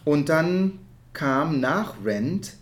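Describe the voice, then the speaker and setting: A man's voice: fairly high pitch, expressive, very slow. Dutch-accented man, searching for words. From Netherlands. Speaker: interview guest, musical theatre performer. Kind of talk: reflective conversational interview.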